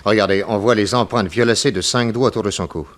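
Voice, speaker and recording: An actor playing a composed, inquisitive, matter-of-fact private detective in a 1960s French radio drama. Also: male voice, on the low side, quick pace, close to an everyday voice. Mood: grave, observant. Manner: matter-of-fact, probing, dry.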